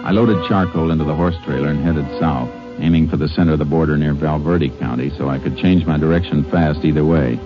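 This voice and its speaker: A very low-voiced American man as a resolute Texas Ranger in an old radio drama. He speaks in terse, matter-of-fact lines, both in dialogue and as narrator. The recording is dull and vintage, with music behind. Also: brisk, hardly any pauses. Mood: grim narration. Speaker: lead actor and first-person narrator.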